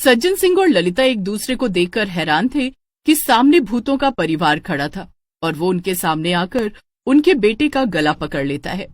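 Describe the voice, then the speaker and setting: A woman narrates a scripted cartoon story. Her pitch is lively and varied, and the recording is close and dry. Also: moderate pace, dry recording.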